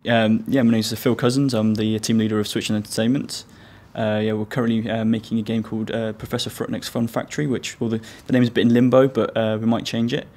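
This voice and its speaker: Hesitant low-voiced man, flat delivery. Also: fast talker, few pauses. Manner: hesitant, rambling. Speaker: team leader. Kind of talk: casual interview answers.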